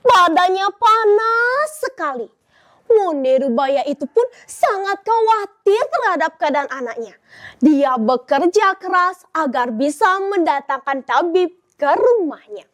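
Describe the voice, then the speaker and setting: A teenage girl narrates a folktale for contest judges with a very high, light voice. She takes her time, drawing out words and letting pauses hang. Her telling is expressive and dramatic. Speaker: student storyteller. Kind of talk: contest storytelling, addressing judges and audience.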